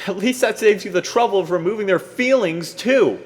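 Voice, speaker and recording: A man's voice: high voice, a little fast, voice pushed up, natural voice. A man voicing an enraged young man who screams and curses at his captor. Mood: furious screaming. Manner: shouting, raw fury. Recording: reverberant room with faint music.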